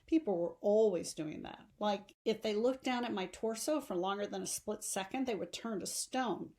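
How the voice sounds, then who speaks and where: A woman narrates a story for young readers in the manner of an audiobook. Her delivery is expressive, with a medium-pitched voice that carries the first-person narration. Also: normal speed. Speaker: teacher reading a novel aloud.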